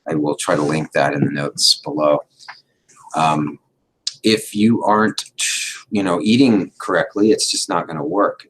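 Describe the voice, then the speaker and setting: A middle-aged man, a doctor hosting a livestream, explaining in an unhurried, casual way with many fillers, his voice a little hoarse as he is losing it. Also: deep voice, fairly bright tone, poor audio.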